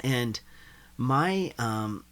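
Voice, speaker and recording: A young man from India, a guest telling his life story in a casual interview, animated and full of "like". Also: medium pitch, drawn-out words, clean tone. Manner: animated, uses "like" often.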